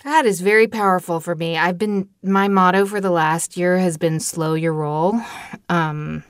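A woman's voice: on the low side, steady tone, measured pace, clear, resonant tone, no echo. A woman hosting a radio interview in a conversational style, recorded in a studio. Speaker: interviewer, show host.

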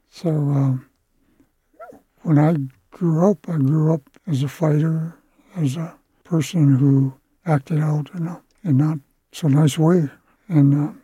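A man in his eighties from Wisconsin with an American accent, recalling his life in a halting, plain-spoken, reflective way. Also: a little high, warm tone, husky, dry recording, low-quality recording. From Wisconsin, United States. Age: 80s. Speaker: interviewed community elder. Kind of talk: reflective personal recollection.

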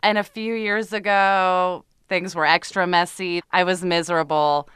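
A woman's voice: lowish voice, level tone, unhurried, a bit bright, smooth, no echo, clean studio sound. A woman comedian chatting casually as an interview guest, candid and self-deprecating. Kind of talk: casual chat.

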